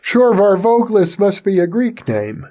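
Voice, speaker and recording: A man hosts an interview in a voice a little higher than most men's. He introduces and questions his guest in measured, orderly sentences. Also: sing-song, mellow. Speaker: interview host. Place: video call interview.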